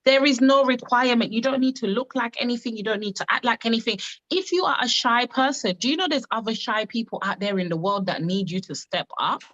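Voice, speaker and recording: A woman from Uganda, giving an emphatic, repetitive motivational pitch on a live call. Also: brisk, fairly bright tone, muffled recording. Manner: emphatic, repetitive, testimony-driven. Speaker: wellness business coach on a live call.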